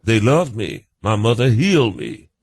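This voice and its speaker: Older man, from rural United States, medium pitch, halting, folksy, defensive, reminiscing guest, dry recording. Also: animated, no echo. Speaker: interview guest. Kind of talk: conversational reminiscence.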